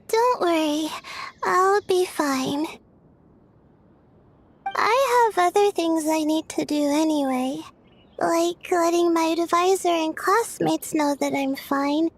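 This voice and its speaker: A woman voicing a sleepy, polite young student astronomer who speaks hesitantly and softly in a game cutscene. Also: very high voice, full character voice. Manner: hesitant, soft-spoken, with fillers.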